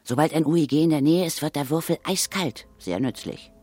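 An actress in a children's radio play voicing a brisk, unflappable older female ghost hunter, dry and commanding. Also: low-pitched, a little mellow, husky. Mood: brisk, assured. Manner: dry, matter-of-fact, commanding.

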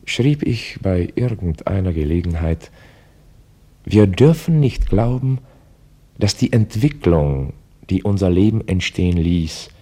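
A reflective novelist narrates in a very low, husky voice with a dark, mellow tone. The delivery is measured and deliberate, as scripted radio drama storytelling. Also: male voice, exaggerated voice. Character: worried, reflective male novelist telling his story. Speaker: author-narrator.

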